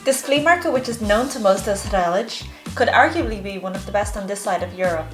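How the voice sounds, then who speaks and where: A woman's voice: medium pitch, animated, brisk, music in the background. A woman vlogger talking casually and enthusiastically as she narrates a shopping trip.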